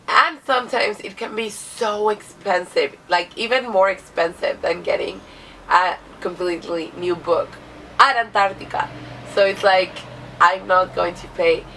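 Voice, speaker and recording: A woman's voice: on the low side, gravelly, fairly bright tone, recorded from a distance, a little hiss or hum, rough recording. A young woman from Chile, a native Spanish speaker, with a cold, chatting casually to camera about books with lots of filler words. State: has a cold. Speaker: book vlogger. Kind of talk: casual vlog monologue.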